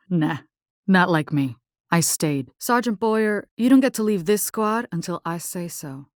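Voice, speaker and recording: A woman's voice: medium pitch, no room sound, clean studio sound, natural voice. A woman playing a spirited, caring young combat engineer in a video game, with a Latin American accent and informal, sassy talk that slips Spanish into English.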